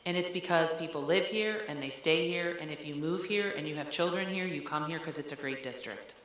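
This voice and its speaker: A woman's voice: deep voice, fairly quick, a bit bright. A woman speaking candidly and conversationally as a school district leader at a public meeting. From Ohio, United States. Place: large meeting room. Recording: very echoey room with hiss.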